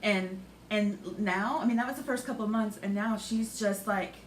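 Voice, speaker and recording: Supportive woman chatting in echoey room. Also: medium pitch. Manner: supportive, giggly, talks fast. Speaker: guest. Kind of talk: casual chat. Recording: echoey room with faint noise.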